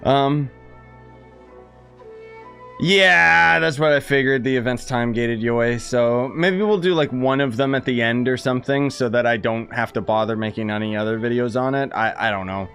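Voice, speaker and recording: A male game streamer with a medium-pitched voice, commenting casually and off the cuff. He is blunt and sardonic, with faint music in the background. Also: moderate pace, fairly bright tone.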